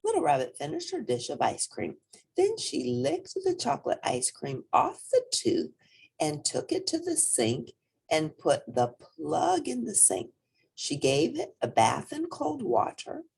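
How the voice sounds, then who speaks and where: A woman's voice: on the high side, wildly swooping pitch, measured pace, creaky, darkish tone, husky. A woman reading a children's storybook aloud expressively, speaking directly to young listeners. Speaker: storybook reader.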